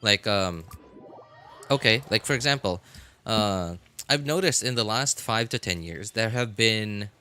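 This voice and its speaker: A man live streaming casual, unscripted commentary, speaking haltingly and repeating phrases for emphasis. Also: fairly low pitch, bright, forceful tone, smooth, soft background music.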